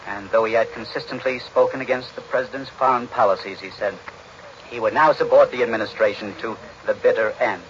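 An American man giving a measured, formal 1940s radio news report as an analyst. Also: mid-range voice. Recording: muffled, noisy 1940s radio recording.

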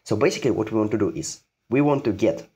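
A man's voice: lowish voice, lively intonation, quick pace, dark tone, breathy edge. A man giving an informal programming tutorial, repeating phrases for emphasis and posing questions that he answers himself.

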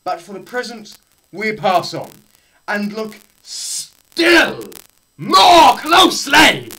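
A young man giving a dramatised science lecture, theatrical, swinging between shouts and hushed murmurs, with pauses and repetition for effect. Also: high voice, rough recording. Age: young adult. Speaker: presenter. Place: small bare indoor room.

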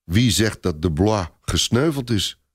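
A sombre commander from a medieval tale, played by a male narrator. He speaks gravely and curtly, with stern authority. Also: on the low side, lively intonation, a little slow, no room sound, studio quality.

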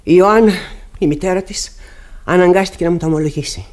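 Stern widowed mother played by an actress, tragic radio theatre, grave measured delivery, bitter but controlled. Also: on the low side, a little mellow, close to an everyday voice. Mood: cold candour. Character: stern, embittered widowed mother in Ibsen tragedy. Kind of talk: classic Greek radio theatre acting.

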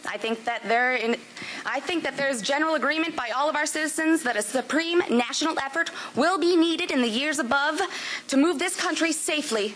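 Bright, high-pitched young woman reading a speech. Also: a little fast. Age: young adult. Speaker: student actor reading speeches. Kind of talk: dramatic reading of political victory speeches. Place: indoor room before an audience. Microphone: podium microphone. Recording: live event, faint room noise.

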